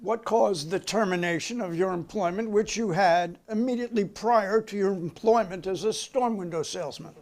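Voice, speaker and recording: A man's voice: high voice, moderate pace, hardly any pauses, darkish tone. An American man reading the part of a formal, insistent committee interrogator in a dramatic reading. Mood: pressing. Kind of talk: dramatic reading of a hearing transcript.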